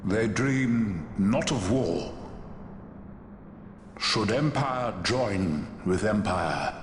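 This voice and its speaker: An actor playing a serene, ancient holy seer in a video game, speaking in a solemn, measured, cryptic way. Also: male voice, lowish voice, expressive, slight background noise. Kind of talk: scripted game cutscene acting. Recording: echoing hall with music.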